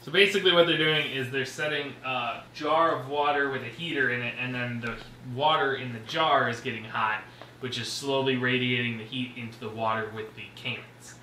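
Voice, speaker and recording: A man's voice: medium pitch, steady tone, moderate pace, bright, forceful tone. A man chipping in as co-host with casual, dry, wry remarks. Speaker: off-camera co-host. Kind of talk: casual conversational commentary. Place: living room at home, off camera. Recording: roomy echo, some background noise.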